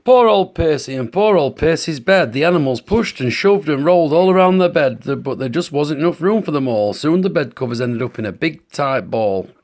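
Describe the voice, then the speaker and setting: A woman with a very low-pitched voice reads a storybook to a child. Her intonation is lively and expressive, and she explains things patiently in an informal, parental way. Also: brisk, few pauses.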